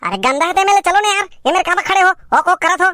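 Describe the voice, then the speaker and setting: An excitable village man from a comedy cartoon, voiced by a man, squeaks in an extremely high, pitched-up voice. The tone is bright and sharp, with a Chhattisgarh accent. He exclaims, teases and grumbles, and the sound is very dry. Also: quick pace, dry recording, full character voice. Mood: grumbling, impatient. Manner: exclamatory, teasing, complaining. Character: excitable, grumbling male villager on a picnic outing.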